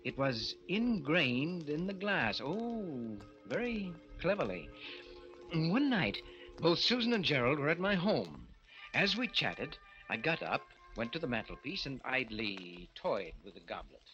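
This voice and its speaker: A man's voice: on the high side, very animated, measured pace, sharp tone, lo-fi sound, faint music behind, heavily acted. An actor playing an urbane, scoffing rich man in a 1940s radio mystery, speaking in a suave, theatrical manner on an old recording. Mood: brooding, fascinated. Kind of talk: 1940s radio mystery acting, narrating and in scene.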